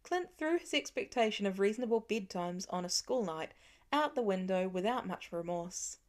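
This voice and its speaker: A woman's voice: medium pitch, lively intonation, normal speed, a little mellow. A woman reading scripted fiction as an audiobook narrator.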